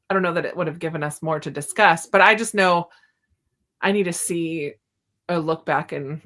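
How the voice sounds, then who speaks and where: A woman's voice: low-pitched, vocal fry, a bit bright. A woman hosting a casual book discussion, talking in a chatty, informal way. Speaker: host of a book channel readalong.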